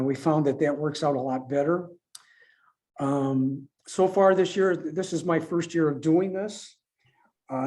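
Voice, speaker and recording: Man, slightly high pitch, soft mellow tone, halting speech, informal club presenter. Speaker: contest organizer presenting and taking questions.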